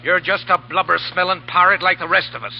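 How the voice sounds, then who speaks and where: A man voicing a surly, mutinous pirate officer who talks in rough, sneering working-class speech in a 1930s radio drama. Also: high-pitched, fast talker, over loud music. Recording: distant, muffled old radio broadcast with hiss.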